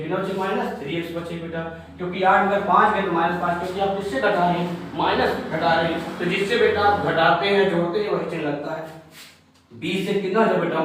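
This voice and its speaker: A man's voice: sits high, fairly bright tone. A man teaching a math class, working each step aloud, asking the pupils a question and then answering it himself. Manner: repeats each step as a question to pupils, calls them beta. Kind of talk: math lesson at a board. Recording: echoey room, voice from far off.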